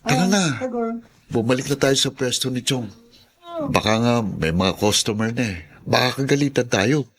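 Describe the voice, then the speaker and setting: A man's voice: medium pitch, animated, moderate pace, close to an everyday voice. A man playing a cautious, earnest young man who scolds, in a radio drama. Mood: embarrassed, chiding. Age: young adult. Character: cautious, easily embarrassed young man, worried grandson.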